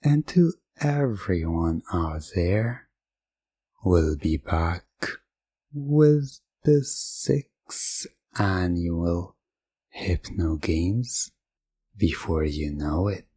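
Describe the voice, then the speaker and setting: A man giving soft, commanding, repetitive hypnotic suggestions in an ASMR roleplay, close to the mic. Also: sits low, lively intonation, drawn-out words, breathy edge, dry recording.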